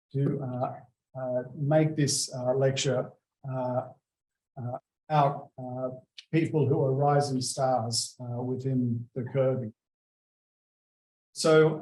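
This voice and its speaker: A man introduces a guest at a memorial lecture. His delivery is halting and full of "uh" fillers, and his voice rings out in an echoey lecture room at an ordinary pitch. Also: darkish tone. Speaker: institute director introducing a lecture. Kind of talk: formal introduction speech.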